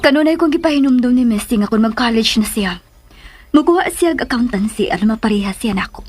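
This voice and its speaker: A woman's voice: a little high, animated, fast, a little mellow. An actress with a Cebuano Filipino accent playing a guarded young woman in a radio drama, dramatic and questioning. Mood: probing. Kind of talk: Philippine radio drama acting. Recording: radio drama with faint background noise.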